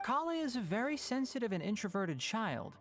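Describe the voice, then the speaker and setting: A man's voice: high voice, very animated, brisk, fairly bright tone, faint music behind. A man playing a long-suffering, caring young guardian in a video game, articulate and pointed. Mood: concerned, pointed. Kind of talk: scripted video game dialogue.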